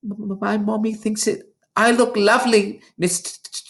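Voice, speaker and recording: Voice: mid-range voice, flat delivery, moderate pace, voice pushed up, lightly acted. A reader voicing a terrified ten-year-old girl who stutters timidly in a children's storybook. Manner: heavy frightened stutter.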